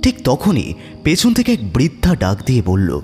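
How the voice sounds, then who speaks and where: A man's voice: mid-range voice, huge pitch swings, moderate pace, dark tone, husky, faint music behind. A man narrating a scripted horror story as a dramatic storyteller.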